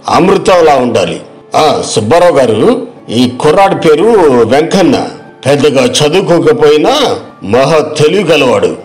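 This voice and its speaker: A man as a blustering village bully, highish voice. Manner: blustering, haughty. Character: blustering male village customer, boastful, bullying debtor. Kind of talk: acted moral story dialogue.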